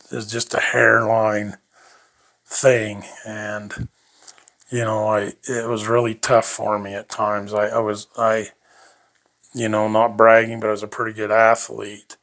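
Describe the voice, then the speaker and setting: An older man with a fairly low voice tells his life story in a rambling, folksy way. He drifts between thoughts and chuckles easily as he goes. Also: vocal fry, fairly bright tone. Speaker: interviewee.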